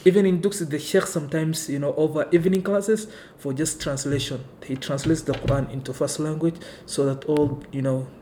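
A man's voice: fairly high pitch, steady tone, fairly quick, few pauses, mellow, a little hiss or hum. A man from Kenya whose first language is Somali, talking unhurriedly in a casual interview and leaning on "you know" and "right". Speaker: interview guest. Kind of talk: casual conversation.

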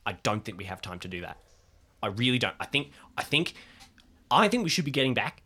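A man's voice: medium pitch, very animated, fairly quick, pushed and bright, breathy edge, heavily acted. A man playing a dazed, nervous and deferential lost man, hesitant and repetitive, in an improvised tabletop game. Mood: nervous, pleading.

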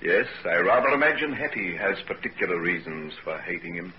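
A man acting an earnest, secretive young gentleman in a 1940s radio drama. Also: low voice, animated, a little fast, highs cut off. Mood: earnest, assured. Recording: noisy old 1940s radio recording.

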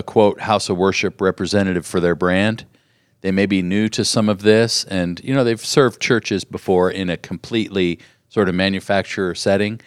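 A man's voice: low voice, clear, resonant tone, no echo, clean studio sound. A man co-hosting a podcast, talking earnestly and reflectively in a casual conversation. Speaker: podcast co-host.

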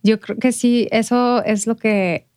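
A woman with a Mexican Spanish accent interviewing in a casual, conversational way, agreeable and quick to chime in. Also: on the high side, fairly even intonation, a little mellow, clean tone. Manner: agreeable, chimes in and echoes the other speaker. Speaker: podcast interviewer.

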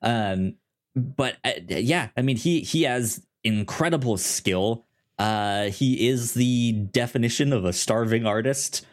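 A man co-hosting a casual podcast discussion, speaking haltingly and often repeating syllables and words in a stutter-like way. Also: medium pitch. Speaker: podcast co-host.

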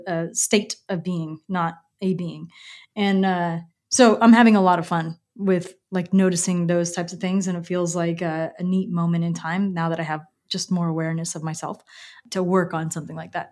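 Low-pitched woman, candid and casual. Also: darkish tone. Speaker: podcast guest, company co-founder. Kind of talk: casual chat.

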